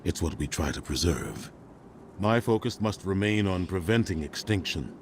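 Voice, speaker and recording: An actor playing a grave, dutiful older commander in a video game, speaking with solemn authority. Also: male voice, low-pitched, lively intonation, normal speed, slight background noise. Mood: solemn, firm. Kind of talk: dramatic video game voice acting.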